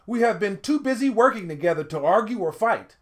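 A man's voice: sits high, animated, a little fast, pitched above the performer's own voice. A man voicing an earnest, caring youngest brother in a storybook, with a pleading manner. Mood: eager.

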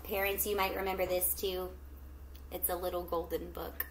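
A middle-aged woman teacher reading a picture book aloud to children, with expressive, drawn-out storytelling and questions to the young listeners. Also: lowish voice, a little hiss or hum, poor audio. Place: small indoor room at home with plants.

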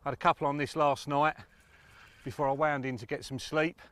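A man's voice: on the high side, level tone, a little fast, fairly bright tone, clear, resonant tone. A middle-aged man presents informal fishing tips outdoors in a matter-of-fact, explanatory way. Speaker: angling presenter. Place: outdoors on a lakeside bank.

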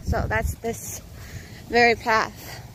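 Young woman, high voice, slow unhurried chat, walking outdoors with a phone held out, faint outdoor hiss. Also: monotone, fairly bright tone, poor audio. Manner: chatty, rambling, a bit out of breath from walking. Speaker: vlogger. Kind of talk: casual walking vlog. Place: outdoors on a suburban path. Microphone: phone held at arm's length.

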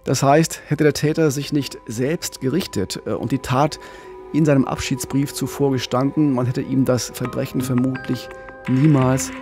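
A man in his forties co-hosting a true-crime podcast, explaining the case in a calm, measured way into a studio microphone. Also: mid-range voice, music in the background. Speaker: podcast co-host. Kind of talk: scripted true-crime narration. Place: small radio studio. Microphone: studio condenser mic with pop filter, headphones.

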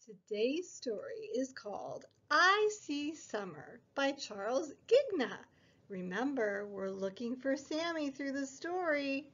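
Young female preschool read-aloud host: very lively sing-song, unhurried, slightly dull recording. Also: sits high. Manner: sing-song, exaggerated child-directed delivery, drawn-out words. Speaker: preschool story time host and teacher. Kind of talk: picture book read-aloud for small children.

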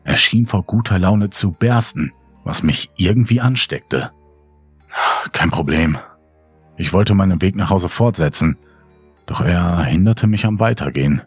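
Casting: male creepypasta narrator, very low and husky, dry close sound, faint music bed. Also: expressive, normal speed, dry recording. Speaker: horror story narrator. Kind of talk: scripted dramatic narration, creepypasta reading. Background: music under the voice.

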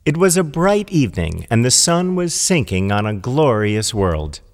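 A man's voice: mid-range voice, very animated, normal speed, big-room sound. A man narrating a children's fable as a scripted storyteller.

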